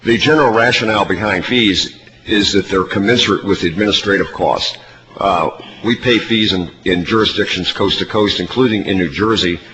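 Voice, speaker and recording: A man giving formal, measured testimony as an industry representative at a public hearing. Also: on the low side, pushed and bright. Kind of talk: formal prepared testimony and answers. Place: large hearing room. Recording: reverberant hall, faint background noise.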